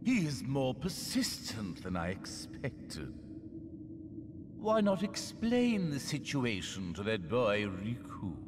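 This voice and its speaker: An actor playing a haughty, scheming middle-aged sorcerer villain in a video game, with a theatrical, menacing drawl. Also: male voice, medium pitch, wildly swooping pitch, slow pace, music in the background. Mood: cold scheming. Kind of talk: video game cutscene acting.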